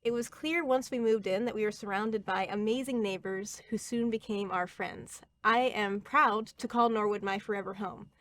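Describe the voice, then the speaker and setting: A woman in her thirties from Ohio giving a prepared campaign statement as a city council candidate, earnest and steady with small slips. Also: mid-range voice, moderate pace, hardly any pauses.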